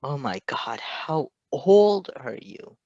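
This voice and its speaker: A man's voice: medium pitch, big pitch swings, slow, darkish tone, no echo, muffled recording, pitched above the performer's own voice. A man voicing a caring, teasing male doctor who is affectionate, soothing and openly emotional, in a story reading. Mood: shocked.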